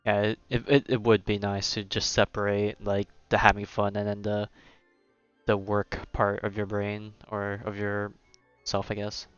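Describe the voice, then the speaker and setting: A man's voice: on the low side, monotone, measured pace, smooth, dry recording, dull audio, faint music behind. A man giving casual livestream game commentary, rambling and thinking aloud in a hesitant way. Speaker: game streamer.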